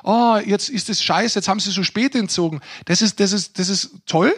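A man's voice: sits high, moderate pace, a bit bright, husky. A man co-hosting a podcast, giving opinions colloquially and repeating phrases to make points. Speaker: podcast co-host. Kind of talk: casual opinionated discussion.

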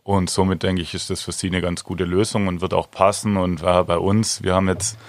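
A man in his thirties answering interview questions in a relaxed, hesitant way with many fillers. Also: low voice, fairly quick, dry recording, studio quality. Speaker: handball player, guest.

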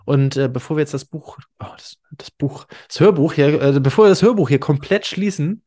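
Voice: mid-range voice, fairly quick, dark tone. A podcast host chatting casually and informally, with slangy turns and English catchphrases thrown in.

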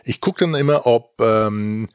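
A man's voice: medium pitch, unhurried, clean tone, dry recording, studio quality. A man co-hosting a podcast in casual chat, informal and dropping in English phrases. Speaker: podcast co-host and reviewer.

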